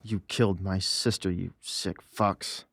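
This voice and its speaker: A woman's voice: a bass voice, steady tone, unhurried, creaky, slightly rough, dry recording, clean studio sound, pitched below the performer's own voice, full character voice. A woman voicing a mocking, vengeful male troublemaker in a theatrical audiobook performance. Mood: cold vengeance. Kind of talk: audiobook character acting.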